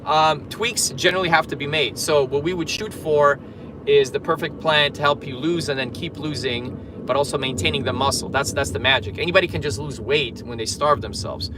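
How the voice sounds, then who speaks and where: Upbeat guy from poland, fitness coach chatting on a live stream from his car, slightly high voice. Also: brisk, background noise. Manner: chatty, upbeat, reads viewer comments aloud. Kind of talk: casual livestream chat while driving. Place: in a car in traffic.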